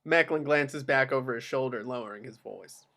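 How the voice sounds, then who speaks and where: A man's voice: medium pitch, moderate pace, creaky, a bit bright. A man narrating the action lines of a screenplay at a table read.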